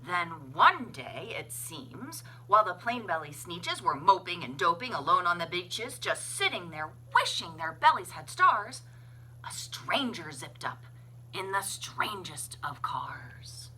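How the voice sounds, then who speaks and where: A woman's voice: fairly low pitch, sing-song, a little slow, pushed and bright, slight background noise, rough recording. A woman reading a rhyming children's story aloud with dramatic, playful emphasis. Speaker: children's library story reader. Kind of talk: expressive read-aloud storytelling in rhyme.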